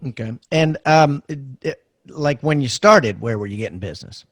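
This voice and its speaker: A man's voice: medium pitch, lively intonation, moderate pace, a bit bright, no echo, studio quality. A man hosting a podcast interview, asking questions in an informal, conversational way. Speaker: podcast host interviewing a guest. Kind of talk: casual interview chat.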